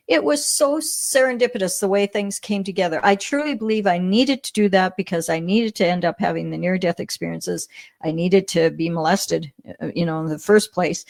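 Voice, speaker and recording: A middle-aged Canadian woman telling her story as an interview guest, animated, often laughing, with many restarts. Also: on the low side, vocal fry, dry recording, clean studio sound. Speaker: podcast guest.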